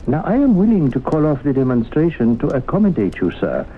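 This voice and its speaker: Wily, fussy professor on muffled radio. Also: male voice, on the high side, very animated, fairly quick. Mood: stubborn, wheedling. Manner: genteel, hesitant, mock-reluctant. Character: wily, fussy male professor haggling over his demonstration. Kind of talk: 1970s radio drama acting. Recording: muffled, hissy old radio broadcast.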